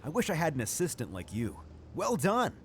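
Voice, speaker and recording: An eager, slightly nervous potion brewer from an adventure game. His highish voice jumps around in pitch, with light music behind him. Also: wildly swooping pitch, a little fast. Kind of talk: video game character acting.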